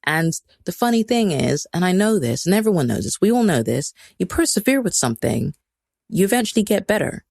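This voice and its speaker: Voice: medium pitch, sing-song, a little fast, creaky, darkish tone, dry recording, clean studio sound. Chatty rambling podcast host from Kenya. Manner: rambling and chatty, heavy use of "like", laughs at self; self-deprecating, motivational. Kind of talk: casual solo live-stream talk.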